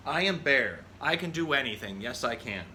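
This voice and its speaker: Guy doing a big proud storybook bear, bragging in chanty emphatic lines, bright forceful voice, some noise behind. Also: mid-range voice, animated, moderate pace. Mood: boastful, defiant. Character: huge boastful loud bear, proud and stubborn. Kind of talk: children's storybook character voice.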